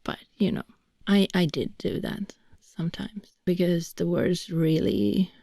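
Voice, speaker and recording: Swedish-accented woman, close-mic whispered ASMR chat, slow and gravelly, dry sound. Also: lowish voice, no echo. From Sweden. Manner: soft rambling whisper. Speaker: voice actor.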